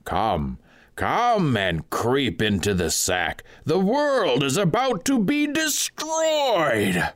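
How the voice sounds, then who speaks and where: A man voicing a disguised thief who poses as a saint, crying out in a high, slow, piercing preacher's voice. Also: huge pitch swings, fairly bright tone, exaggerated voice. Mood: prophetic, shrill. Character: master thief posing as shrieking saint.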